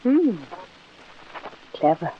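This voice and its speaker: Casting: troubled young lady in love, soft, hesitant, tender, vintage radio-play style with muffled sound. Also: fairly high pitch, very animated, drawn-out words, a little hiss or hum, heavily acted. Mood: gentle, curious. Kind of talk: 1940s radio drama acting. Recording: dull 1940s radio recording, music.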